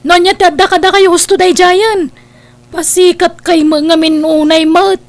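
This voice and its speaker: A woman's voice: extremely high pitch, steady tone, moderate pace, dry recording. A woman playing a complacent married older sister in a family radio drama, dismissive and preachy, scornful of wealth. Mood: smug, chiding. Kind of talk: Ilocano radio-style family drama acting.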